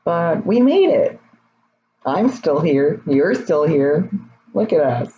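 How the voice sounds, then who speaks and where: A young man in his twenties, his voice a little raspy, talking candidly and hesitantly in a personal vlog. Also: a little high, very animated, takes it slowly. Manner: candid, rambling, hesitant with many fillers. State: slightly raspy voice. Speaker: vlogger. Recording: slightly dull, faint noise.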